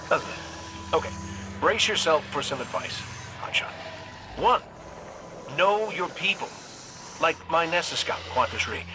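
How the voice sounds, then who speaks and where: An actor playing a cocky, wisecracking robot gunslinger in a video game, with an American accent and a wry, folksy, sardonic way of talking. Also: male voice, a little high, noisy background. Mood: mock-instructive. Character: cocky wisecracking male robot gunslinger, roguish hunter leader. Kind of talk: video game voice acting, recorded farewell messages.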